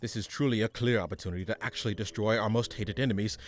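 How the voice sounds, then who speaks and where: A man's voice: lowish voice, fast talker, natural voice. A man playing an exasperated, condescending supervillain mastermind in a comic radio play, pompous in his delivery. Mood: weary scheming.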